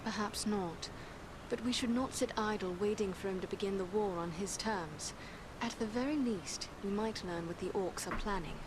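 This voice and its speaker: A determined warrior heroine acted by a woman in a fantasy game. The voice is mid-pitched and formal, with clear ambient noise behind it. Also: moderate pace, close to an everyday voice. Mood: resolute. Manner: formal, resolute. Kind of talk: fantasy game cutscene acting.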